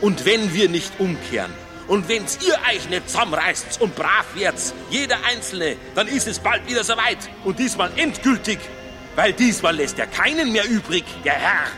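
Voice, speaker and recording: High, swooping Bavarian doom preacher. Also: male voice, expressive, moderate pace. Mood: scolding. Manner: fiery, declamatory, scolding. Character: thundering Bavarian village priest preaching doom at a funeral. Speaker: pastor. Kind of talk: radio drama sermon. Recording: music behind the voice.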